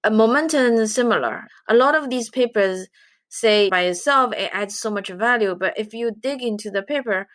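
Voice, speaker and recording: A woman from China who speaks English, giving measured, earnest answers as an interview guest. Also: fairly high pitch, fairly bright tone, no room sound. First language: Chinese. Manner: measured, earnest explaining with light laughs. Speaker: guest, investment researcher.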